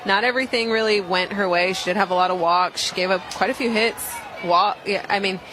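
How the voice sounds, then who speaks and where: A woman giving conversational color commentary as an analyst on a softball broadcast. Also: medium pitch, brisk. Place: outdoor softball ballpark broadcast position. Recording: crowd noise and music behind broadcast. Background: ballpark crowd and music.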